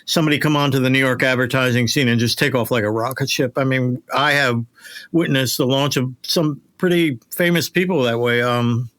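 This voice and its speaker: Middle-aged man, halting conversational host. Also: medium pitch, a bit bright. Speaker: interview host, voiceover director. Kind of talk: casual interview chat.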